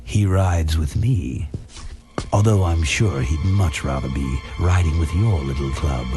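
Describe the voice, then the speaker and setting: An actor playing a scheming, oily corporate villain in a video game, speaking in a smooth, formal, condescending way. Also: male voice, rumbling low, measured pace. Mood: haughty. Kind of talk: scripted video game voice acting. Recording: game audio with music behind. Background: music.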